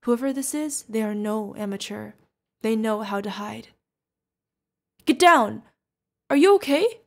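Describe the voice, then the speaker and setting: A woman's voice: medium pitch, moderate pace, gravelly, a little mellow, natural voice. A woman playing a protective older witch mentor, fond, teasing and stern, in an ASMR fantasy roleplay. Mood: wary, protective. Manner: affectionate teasing, mock-exasperated. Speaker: voice actor.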